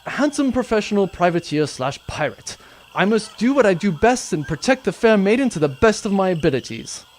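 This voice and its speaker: A man acts out a dashing privateer captain from a comic space audio drama. He is vain and theatrical, pitched a little high, and savours his own charms. Faint noise sits behind the voice. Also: big pitch swings, a little fast. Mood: boastful. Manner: self-admiring, theatrical.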